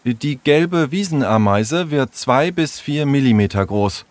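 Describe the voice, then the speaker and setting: A man's voice: mid-range voice, expressive, measured pace, a little hiss or hum, voice pushed up, natural voice. A man voicing a dreamy, earnest young boy fascinated by insects in a storybook reading. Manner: absorbed, earnest. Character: dreamy young boy Tom, ant-obsessed reader.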